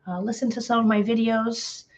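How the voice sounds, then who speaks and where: A slightly hoarse woman hosting a live painting tutorial, chatty and greeting viewers by name with lots of ums. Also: on the high side, fairly even intonation, normal speed. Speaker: painting instructor.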